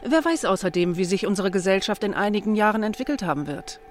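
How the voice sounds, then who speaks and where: Female colonel, played by an actress: composed authority, medium pitch, measured radio-drama delivery, music in the background. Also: lively intonation, close to an everyday voice. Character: composed, stern older female colonel, philosophical. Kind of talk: German radio play acting.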